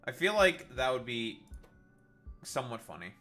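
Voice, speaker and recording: A man's voice: mid-range voice, big pitch swings, moderate pace, sharp tone, faint music behind. A man casually commenting on his gameplay, swearing freely and rambling with restarts. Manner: profane, rambling, self-interrupting. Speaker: gaming streamer.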